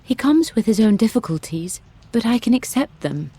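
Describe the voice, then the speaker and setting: A British noblewoman in a video game, thoughtful and torn. Her voice is husky and soft-toned, and she speaks in a reflective, confiding way. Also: a little high, big pitch swings, moderate pace, full character voice. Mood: torn, wistful. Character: thoughtful, torn young aristocratic woman weighing love against duty. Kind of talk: dramatic monologue in a video game.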